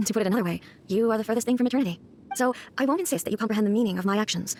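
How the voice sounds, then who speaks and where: Woman playing aloof game goddess, formal and commanding, talks really fast, bit of noise behind. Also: medium pitch, darkish tone, close to an everyday voice. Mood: cold, imperious. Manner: formal, commanding, measured phrasing. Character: imperious female thunder deity, cold, solemn, aloof. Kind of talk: video game cutscene acting.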